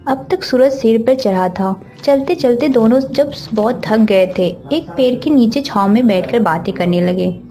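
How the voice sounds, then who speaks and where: A woman reads a children's bedtime tale as narrator. Her voice is fairly high and soft-edged, with faint music behind it. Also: a little slow, few pauses.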